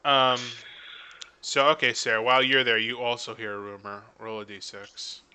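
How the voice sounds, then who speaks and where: A man's voice: mid-range voice, fairly even intonation, normal speed, sharp tone, clear, resonant tone, low-quality recording. A man casually narrating and refereeing a tabletop game as game master.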